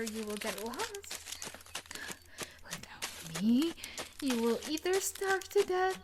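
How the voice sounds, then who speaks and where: A woman playing an obsessive, possessive young scientist in an audio roleplay, with breathy, coaxing threats and pleading. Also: a little high, noisy background. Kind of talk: audio roleplay acting addressed to the listener.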